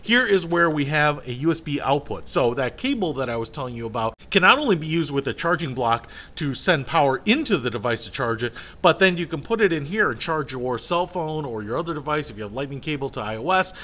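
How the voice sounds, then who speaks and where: A man with a fairly high, very bright voice walks through a product hands-on. He speaks casually and with enthusiasm, using plain colloquial phrasing as he explains features to the viewer. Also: animated, a little fast, few pauses. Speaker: product reviewer.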